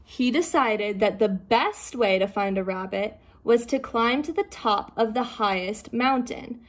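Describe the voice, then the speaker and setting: A woman narrates a children's storybook in a fairly high voice. She takes her time, with a very unhurried pace and measured, expressive delivery. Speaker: storyteller reading a children's book aloud.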